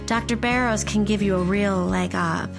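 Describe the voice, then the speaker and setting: A woman's voice: mid-range voice, steady tone, moderate pace, vocal fry, over music, close to an everyday voice. A woman playing a persuasive, insinuating wife in an audio drama, speaking coaxingly. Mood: seductive coaxing.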